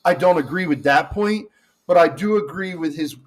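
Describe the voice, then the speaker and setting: A man's voice: on the high side, moderate pace. A man co-hosting a casual political chat podcast who rambles and often restarts his sentences. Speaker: podcast co-host.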